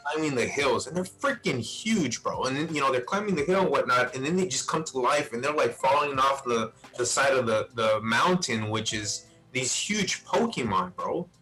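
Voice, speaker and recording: Male host, bright mid-pitched voice, informal buddy chat. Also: lively intonation, normal speed, few pauses, low-quality recording. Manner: informal, saying "man" and "bro" often. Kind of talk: casual chat about video game movies. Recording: music playing behind the voice. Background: music.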